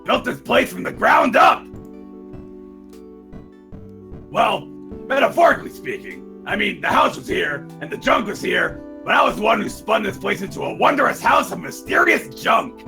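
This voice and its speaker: A man voicing a brash, gravelly old con-artist showman who booms out sales patter in a fan-dubbed dating game. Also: very animated, normal speed, sharp tone, faint music behind, exaggerated voice. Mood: boastful. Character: brash old male con-artist showman, loud tourist-trap owner.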